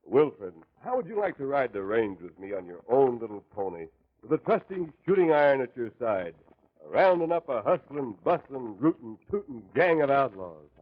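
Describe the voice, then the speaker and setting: Courteous Western hero, animated, rough-edged voice, vintage radio declamation. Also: male voice, mid-range voice, normal speed, phone-quality sound. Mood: hearty. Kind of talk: 1940s radio acting. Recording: husky, phone-thin 1940s radio recording.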